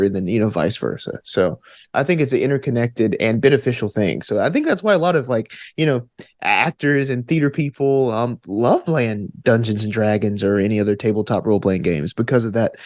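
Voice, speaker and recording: A man's voice: medium pitch, expressive, brisk, darkish tone, dry recording. Earnest man with a dry close sound. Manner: earnest, thinking aloud, frequent "like" and "you know". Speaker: podcast guest, actor and tabletop gamer. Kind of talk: reflective conversational interview answers.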